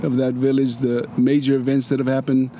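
A man from Samoa with a dark, mellow voice talks through his stories patiently, restarting phrases as he goes. A dry sense of humor runs under his plain, relaxed delivery. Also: mid-range voice, brisk, slight background noise. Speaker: interview guest. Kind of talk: casual storytelling conversation.

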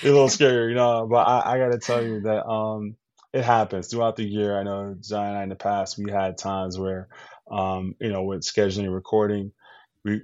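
An upbeat man co-hosting a podcast, talking in a loose, rambling way with lots of "um"s and false starts. His voice sits on the low side. The recording is dull and lo-fi, with a very dry, close sound. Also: a little mellow, no echo. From US East Coast. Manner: enthusiastic, rambling with many fillers and restarts. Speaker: podcast co-host. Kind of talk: casual podcast chat.

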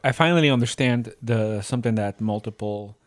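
Man, average pitch, casual conversational podcast talk, co-host. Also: brisk. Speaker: theatre company team member, podcast co-host.

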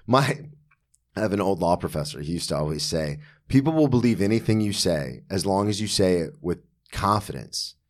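A man's voice: low-pitched, lively intonation, moderate pace. A man hosting a casual comedy podcast, talking in a blunt, conversational, storytelling way with some swearing.

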